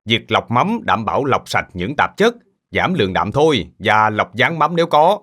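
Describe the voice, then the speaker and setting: A man's voice: on the low side, big pitch swings, normal speed, pushed and bright, full character voice. A man playing a kindly middle-aged uncle in a cartoon, patiently explaining things to children. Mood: patient, instructive. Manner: patient, instructive. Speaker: fish sauce maker explaining to children.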